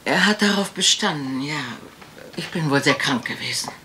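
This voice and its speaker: A woman's voice: low-pitched, lively intonation, normal speed, sharp tone, a little hiss or hum. An older woman playing a frail, genteel, wistful lady in a radio crime drama, speaking hesitantly and ramblingly. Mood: flustered.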